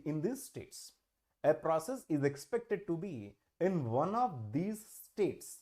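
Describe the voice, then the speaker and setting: High-voiced man teaching a live online class. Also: darkish tone. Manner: repetitive, rhetorical questions. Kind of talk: online exam-prep lecture.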